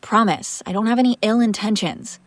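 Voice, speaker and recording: A woman's voice: a little high, normal speed, no room sound, close to an everyday voice. A woman playing a female vampire master, an exasperated but protective caretaker, in a conversational, sardonic audio roleplay. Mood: pleading, reassuring. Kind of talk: intimate audio roleplay acting, one side of a conversation.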